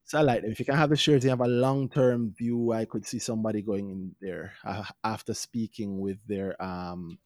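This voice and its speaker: A man's voice: on the low side, fairly even intonation, normal speed, few pauses, a little mellow, dry recording, studio quality. A Jamaican man co-hosting a podcast, chatting informally about finance in a hesitant, self-repeating way. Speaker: podcast co-host reviewing stocks. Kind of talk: casual unscripted financial chat.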